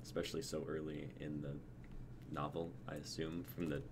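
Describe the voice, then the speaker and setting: A man's voice: a bass voice, flat delivery, normal speed, noisy background. A young man chatting casually and encouragingly as a co-host of a group stream. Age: young adult. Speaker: co-host of an online readers' stream.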